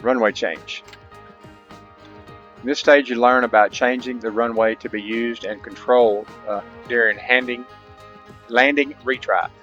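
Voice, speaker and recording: A man's voice: mid-range voice, fairly even intonation, unhurried, long pauses, a bit bright, faint music behind. A man hosting a gameplay tutorial, talking casually to viewers with folksy asides and reading out instructions. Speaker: host of a gaming channel. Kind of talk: gameplay tutorial commentary, partly reading on-screen instructions aloud. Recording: dull lo-fi mic, faint background noise.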